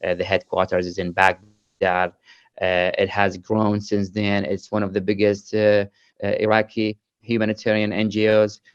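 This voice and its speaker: Male panelist from Iraq, very deep, smooth voice, slightly dull video-call audio. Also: monotone, moderate pace, dry recording. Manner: polite, hesitant, many fillers. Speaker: panel speaker. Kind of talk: panel remarks.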